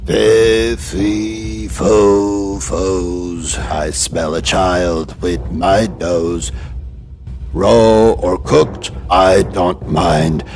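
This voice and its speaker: A man voicing a hungry, menacing giant in a children's storybook, chanting in a drawn-out sing-song. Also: sits low, fairly even intonation, a little mellow, heavily acted. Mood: menacing, gloating. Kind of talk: children's storybook character acting.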